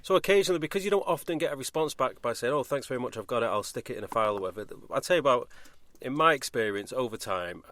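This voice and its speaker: A man's voice: mid-range voice, expressive, racing, few pauses, fairly bright tone, no echo. A man hosting a podcast in a casual chat, talking in an informal, rambling conversational way. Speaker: podcast host.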